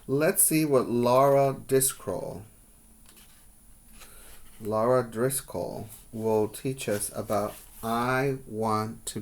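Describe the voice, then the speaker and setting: A male preschool teacher reads a children's book at a slow, measured pace in a mid-range voice. He is instructional, adding simple explanations and questions for his young listeners. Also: mid-range voice. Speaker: preschool teacher reading aloud. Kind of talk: children's read-aloud with explanatory asides.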